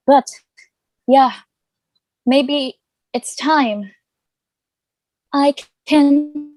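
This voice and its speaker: A woman's voice: high voice, warm tone, no echo, poor audio. A woman playing a loving, protective mother in a musical theatre table read, encouraging her son in speech and song. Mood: earnest, resigned.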